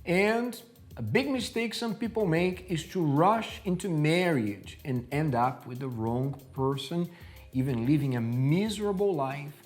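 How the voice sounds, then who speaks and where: A man in his forties presenting a TV talk show in a studio, upbeat and lively. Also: on the high side, a little slow, faint music behind. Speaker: host. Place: TV studio, indoors.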